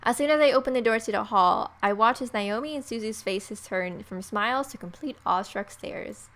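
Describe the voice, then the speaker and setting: A woman's voice: on the high side, moderate pace, a bit bright, a little hiss or hum. A woman reading a visual novel aloud as scripted narration, with casual asides. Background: faint music.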